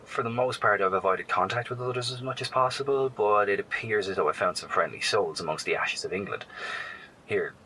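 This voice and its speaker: An actor playing a weary, wry professor out in a wasteland in a horror audio drama, earnest, self-deprecating and halting. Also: male voice, fairly low pitch, pushed and bright, a little hiss or hum, poor audio. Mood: serious.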